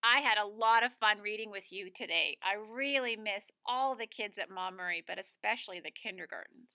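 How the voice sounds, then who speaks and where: A woman's voice: mid-range voice, moderate pace, bright, forceful tone. A woman reading a storybook aloud expressively for young children. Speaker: storybook reader, school staff.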